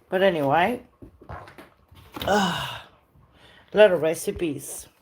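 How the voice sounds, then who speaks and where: Middle-aged woman from south america streaming from her rental on her phone, slow easy chat, sweet-talks her dog. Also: on the low side, a little hiss or hum. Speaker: live streamer. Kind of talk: casual home live stream tour. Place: rented house, indoors.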